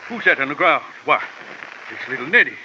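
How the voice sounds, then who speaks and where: An actor playing a pompous, blustering older colonel with formal speech in a 1940s radio drama. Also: male voice, mid-range voice, big pitch swings, fairly quick, sharp tone. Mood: shocked, mournful. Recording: muffled old radio recording, faint noise.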